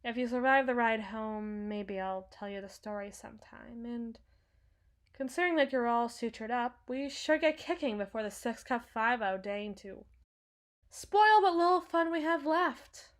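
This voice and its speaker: A woman playing a cynical, menacing henchwoman with a hidden soft spot in an ASMR roleplay, brooding and sardonic, sometimes sinking toward a whisper. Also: a little high, lightly acted. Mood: teasing, gruff. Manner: slow, brooding, sardonic, dropping into breathy whispers. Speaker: audio roleplay performer.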